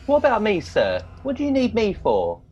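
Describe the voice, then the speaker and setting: A man's voice: high-pitched, wildly swooping pitch, moderate pace, darkish tone, slightly rough, muffled recording, full character voice. A man playing a naive, sulky young private and mummy's boy in a comic radio play, childish and a little whiny. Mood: eager.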